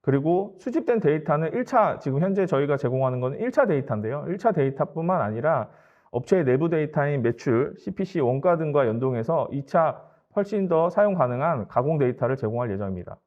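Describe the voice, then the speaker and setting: A man with a slightly high voice delivers a formal webinar presentation. He explains things politely and matter-of-factly, like a company presenter walking through slides. The audio is somewhat dull and lo-fi. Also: sing-song, a little fast.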